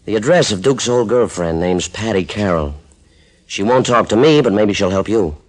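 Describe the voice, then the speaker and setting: Casting: man playing shady American gambling boss, low voice, sarcastic and threatening, vintage radio crime show. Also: expressive, moderate pace, darkish tone, close to an everyday voice. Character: smooth-talking, menacing male gambler and club boss. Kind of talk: old-time radio crime drama.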